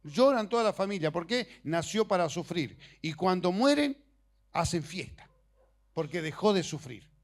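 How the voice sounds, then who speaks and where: A middle-aged man, a native Portuguese speaker, preaching in Spanish in a conversational, halting way with personal stories and joking asides. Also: sits high, very animated. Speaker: preacher and missionary. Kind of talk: informal sermon with personal stories.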